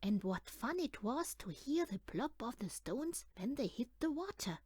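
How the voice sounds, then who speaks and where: A woman reading a children's picture book aloud as an audiobook, in an expressive, deliberate storytelling manner. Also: a little high, breathy edge, no echo, clean studio sound. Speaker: audiobook reader.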